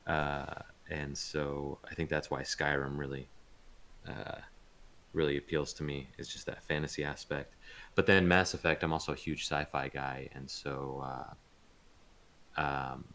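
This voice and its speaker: Male interview guest, extremely low voice, laid-back, halting conversational delivery. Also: level tone, a bit bright. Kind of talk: casual chat.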